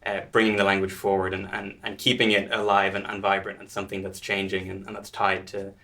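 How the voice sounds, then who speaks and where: A man interviewing a guest on stage at a live panel. His voice is fairly low and stays flat in tone. He builds his questions slowly and in a measured way. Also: creaky. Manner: measured, asks long reflective questions. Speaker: interviewer host.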